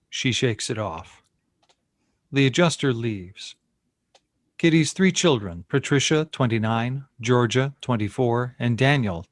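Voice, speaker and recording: A man's voice: mid-range voice, dry recording. Dry-sounding man narrating a screenplay. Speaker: stage-direction narrator. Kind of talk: scripted narration at a screenplay table read.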